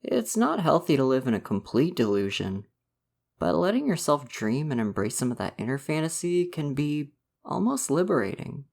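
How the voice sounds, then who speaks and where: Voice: mid-range voice, sing-song, moderate pace, vocal fry. A performer playing an affectionate visual novel boyfriend in an ASMR roleplay, earnest and reassuring. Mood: reassuring, tender. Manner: soft-hearted, reassuring, earnest. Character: gentle, affectionate dating-sim boyfriend come to life. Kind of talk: ASMR roleplay, personal affirmation.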